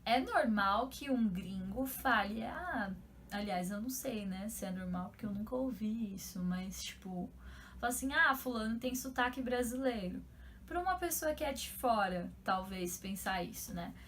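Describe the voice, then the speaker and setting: Chatty Brazilian woman, slightly high voice. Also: lively intonation, moderate pace, fairly bright tone, slight background noise. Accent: Brazilian Portuguese. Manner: chatty, rhetorical questions, frequent "né?" tags. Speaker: channel host. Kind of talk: casual talk to camera.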